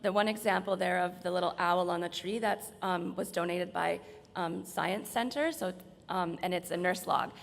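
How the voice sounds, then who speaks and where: A woman with a slightly hoarse voice gives an informal, explanatory slide presentation in a large room. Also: fairly low pitch, normal speed. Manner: explanatory, conversational. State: slightly hoarse, losing her voice. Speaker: presenter from hospital staff. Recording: reverberant hall.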